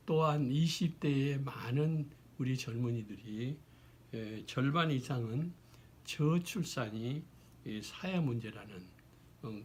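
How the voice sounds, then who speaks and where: A man, hoarse from a cold, giving an explanatory talk in a formal, deliberate way with halting phrasing. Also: medium pitch, darkish tone, slight background noise. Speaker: lecturer. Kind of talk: explanatory talk on social issues.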